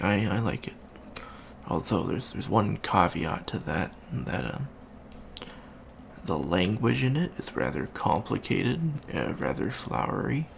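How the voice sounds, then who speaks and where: A man playing an awkward, bookish young alien college student in an ASMR roleplay, talking softly and hesitantly with many ums and stammers. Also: low voice, creaky, slight background noise, full character voice. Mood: awkward, earnest. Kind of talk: soft-spoken ASMR roleplay.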